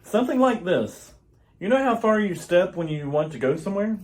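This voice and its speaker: Man acting grave, theatrical high-voiced radio-drama scientist. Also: expressive, a little fast. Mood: tender, earnest. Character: earnest urgent alien scientist, husband and father.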